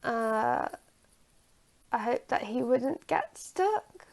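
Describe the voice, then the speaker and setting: A woman retelling a story from memory in a halting, hesitant way, searching for her words. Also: a little high, wildly swooping pitch, gravelly, darkish tone.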